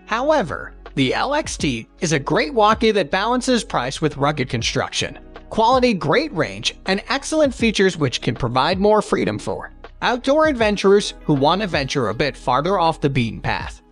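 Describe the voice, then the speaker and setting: A man with a high-pitched voice narrates a scripted product countdown. His pitch moves in lively swings from phrase to phrase, with faint music playing behind him. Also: moderate pace.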